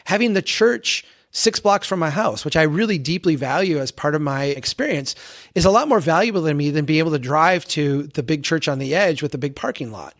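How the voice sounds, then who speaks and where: A man's voice: on the high side, expressive, brisk, fairly bright tone. An American man in his forties explaining his views earnestly and with conviction as an interview guest. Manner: earnest, opinionated, uses rhetorical contrasts. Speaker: podcast guest, advocate for towns.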